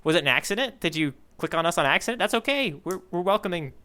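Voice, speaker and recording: A man's voice: high voice, animated, quick pace, pushed and bright. A man hosting a podcast in casual, rambling, jokey chat, often correcting himself as he goes. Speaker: podcast host. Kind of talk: casual podcast chat, reading listener letters.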